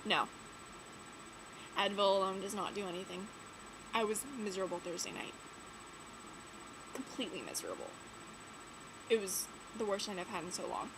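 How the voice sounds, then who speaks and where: Teen girl vlogger, stuffy from a cold, rambling filler-heavy chat, mid pitch, noisy room. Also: lively intonation, moderate pace, pauses often. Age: late teens. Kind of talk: casual chat to camera. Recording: audible background noise.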